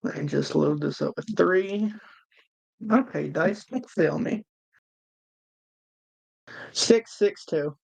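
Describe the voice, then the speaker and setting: Creaky-voiced woman playing a tabletop game. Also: low voice, slow, long pauses, a little mellow, rough recording. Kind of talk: casual chat.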